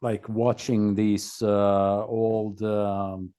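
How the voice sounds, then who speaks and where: A man from Norway with a Norwegian accent, a podcast guest telling his own story casually in a halting, laconic way. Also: fairly low pitch, little pitch movement, mellow.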